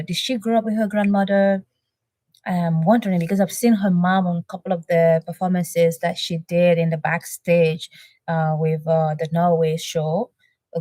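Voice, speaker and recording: Low-voiced woman chatting live. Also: no room sound. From Benin. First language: French. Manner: chatty, warm thanks, reads chat aloud with many fillers. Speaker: reaction channel host. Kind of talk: live-stream chat with viewers.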